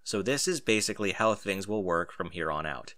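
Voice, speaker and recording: A man voicing a serious but fatherly military captain, speaking in a measured, instructive way for a story. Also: low voice, animated, sharp tone, no echo, studio quality, pitched below the performer's own voice. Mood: businesslike.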